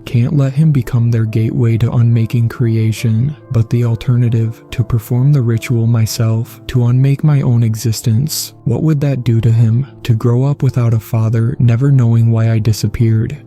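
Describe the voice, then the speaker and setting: A man's voice: mid-range voice, fairly even intonation, moderate pace, few pauses, dark tone, close to an everyday voice. A man voicing a guilt-ridden father and secret paranormal investigator, grave and confessional, in a horror story reading. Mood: anguished resolve. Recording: music behind the voice.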